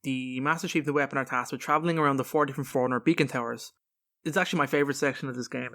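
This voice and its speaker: A man with a medium-pitched voice talks as a podcast co-host. He explains video game lore casually, in an informal and rambling style. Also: fairly even intonation, racing, a bit bright.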